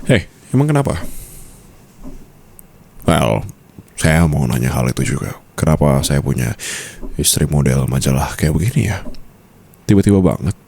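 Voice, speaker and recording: Man playing a very deep, flirty mafia boss. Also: big pitch swings, gravelly, darkish tone, slight background noise, heavily acted. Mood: teasing, amused. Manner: slow, teasing murmur, mixing in English phrases. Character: possessive, teasing mafia boss husband, smooth and flirtatious. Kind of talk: intimate ASMR roleplay.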